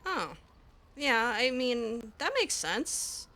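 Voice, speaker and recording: A woman's voice: a little high, sing-song, drawn-out words, sharp tone, full character voice. A woman playing an eager, hesitant young mage. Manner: hesitant and chatty, many ums and restarts. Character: curious young woman mage escaped from a tower. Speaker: roleplaying player character. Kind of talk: casual in-character roleplay.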